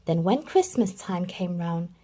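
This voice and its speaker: A woman reading a children's storybook aloud in an expressive storytelling manner. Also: on the low side, unhurried. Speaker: children's book reader.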